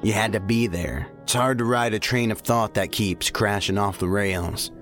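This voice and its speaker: An actor playing a blunt, stubborn special agent in an audio drama. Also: male voice, fairly low pitch, moderate pace, fairly bright tone, lightly acted. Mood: pensive, puzzled. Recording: faint music behind the voice.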